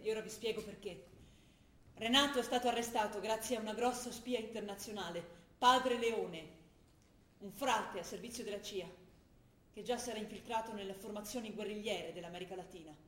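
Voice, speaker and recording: A woman's voice: mid-range voice, fairly even intonation, fairly quick, reverberant, a little hiss or hum, natural voice. An actress playing an earnest, troubled young woman militant, confiding in a dialect-tinged way. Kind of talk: radio broadcast of a stage play.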